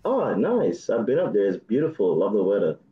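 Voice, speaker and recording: A man in his thirties with a Jamaican accent, chatting on a video call as a dating show contestant, soft-spoken with nervous laughs. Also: lowish voice, wildly swooping pitch, moderate pace, echoey room, muffled recording. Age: 30s. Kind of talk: casual video call chat.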